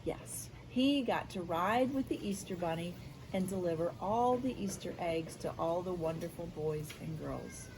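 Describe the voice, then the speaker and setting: An American woman, a school media specialist, reading aloud and teaching young children in an expressive, encouraging, question-asking way. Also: lowish voice, background noise. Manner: expressive, encouraging, asks questions and pauses for answers. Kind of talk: read-aloud storytelling and lesson for young children.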